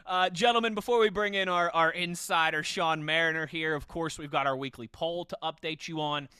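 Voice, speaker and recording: A man's voice: fairly high pitch, steady tone, fairly quick, pushed and bright, clear, resonant tone, no room sound. A man hosting a casual sports podcast, talking conversationally. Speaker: podcast host.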